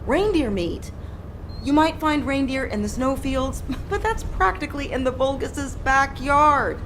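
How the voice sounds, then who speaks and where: Casting: caring, no-nonsense female game ally, highish animated voice, rumbling noise behind. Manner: cautioning, matter-of-fact. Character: sensible, caring female colleague who gently scolds. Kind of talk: video game voice acting.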